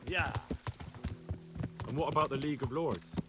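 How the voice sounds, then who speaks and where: A man's voice: on the high side, moderate pace, music in the background, lightly acted. A man playing an earnest, curious young medieval squire in a video game cutscene, with a plain, inquisitive manner. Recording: clear noise behind the voice.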